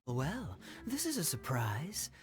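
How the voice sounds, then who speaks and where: A man playing a suave young knight in a video game, smooth-talking and slyly teasing. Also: fairly high pitch, wildly swooping pitch, slow, exaggerated voice. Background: music.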